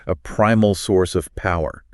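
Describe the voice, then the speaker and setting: A man's voice: low voice, measured pace, clear, resonant tone, dry recording, studio quality. A man co-hosting a podcast chat about a magic book, agreeable and often finishing his partner's sentences. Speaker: co-host. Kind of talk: scripted-sounding podcast chat.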